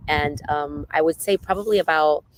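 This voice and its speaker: A man's voice: on the high side, normal speed, poor audio. An effusive, heartfelt man speaks in a slightly raised voice, stringing his thoughts together with "you know". Faint hiss sits under the audio. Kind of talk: motivational talk.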